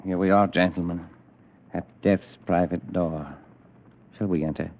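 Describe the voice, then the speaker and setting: An actor playing a smooth, sinister recruiter for a secret deadly club, in an old-time radio drama. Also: male voice, sits low, fairly even intonation, a little slow, slight background noise. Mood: ominous. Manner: suave, persuasive, ominous. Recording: dull old radio recording.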